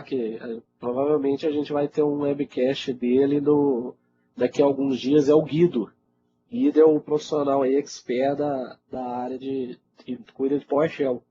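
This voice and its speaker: A Brazilian man with a cold, teaching IT and answering questions in an informal, conversational webinar style, often checking in with his listeners. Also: mid-range voice. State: congested with a cold. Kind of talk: casual live webinar Q&A. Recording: dull lo-fi webinar audio, loud noise.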